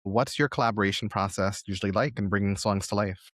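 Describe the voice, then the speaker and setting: Low-pitched man hosting a casual podcast. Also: quick pace, a bit bright, dry recording, studio quality. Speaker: podcast host. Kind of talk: casual conversational interview.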